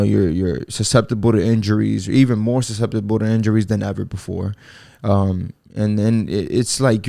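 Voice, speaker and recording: A man's voice: fairly low pitch, vocal fry, a little mellow, no room sound, studio quality. A man in his twenties hosting a casual solo podcast, rambling in laid-back slang with lots of fillers and restarts. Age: 20s. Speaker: podcast host.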